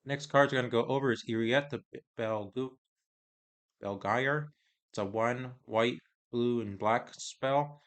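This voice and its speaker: A man casually reviewing trading cards, reading card text aloud in a halting, hesitant way, his voice getting hoarse. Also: mid-range voice. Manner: halting, hesitant, many pauses and restarts.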